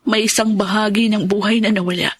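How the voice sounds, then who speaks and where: Actress as a husky, sorrowful Filipino daughter. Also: female voice, medium pitch, steady tone, close to an everyday voice. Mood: grieving. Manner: halting, tender, emotional. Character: sorrowful young married daughter mourning her lost child. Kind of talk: Filipino radio drama dialogue.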